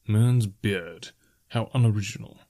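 A brooding fugitive sellsword from a fantasy audiobook, voiced by a man. He is low-voiced, guarded and dry, often muttering as if tired of it all. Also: measured pace, dark tone. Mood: resigned, dry. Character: weary, guarded male mercenary on the run. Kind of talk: fantasy audiobook character dialogue.

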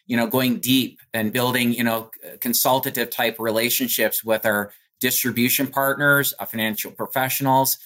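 A man's voice: mid-range voice, steady tone, moderate pace, few pauses, a bit bright. An American man speaking as a company executive in an interview, explaining things conversationally in polished corporate language peppered with "you know". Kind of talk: business interview answer, conversational.

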